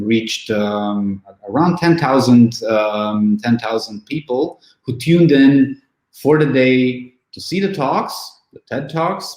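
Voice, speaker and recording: Man in his thirties, event organizer, halting speech full of ums, reverberant room sound. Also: fairly low pitch, darkish tone. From Romania. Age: 30s. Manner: halting, many fillers and restarts. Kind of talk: casual online interview. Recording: echoey room.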